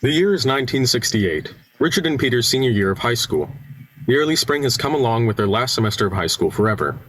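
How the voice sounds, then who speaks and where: A man's voice: mid-range voice, very animated, fast, fairly bright tone, rough recording. A man acting as the storyteller, reading scripted narration for an amateur radio play. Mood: measured. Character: dramatic storyteller narrating a small-town murder mystery.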